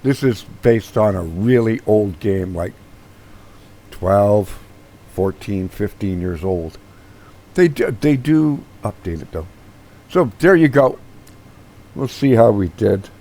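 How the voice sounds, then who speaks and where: Slow-talking hoarse man hosting a gameplay channel. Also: on the low side, lively intonation, mellow. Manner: rambling, many fillers and restarts. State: hoarse, losing his voice. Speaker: gaming channel host. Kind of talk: casual gameplay commentary.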